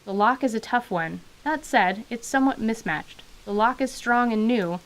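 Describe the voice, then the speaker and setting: A woman narrating a tabletop adventure as game master, in an even, wry storytelling manner. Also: on the high side, normal speed.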